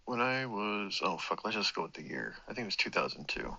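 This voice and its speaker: Gravelly low-voiced man with a sore throat. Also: very animated, fairly quick, pushed and bright, muffled recording. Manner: meandering, self-correcting, swears casually. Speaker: vlogger. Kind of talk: rambling personal monologue.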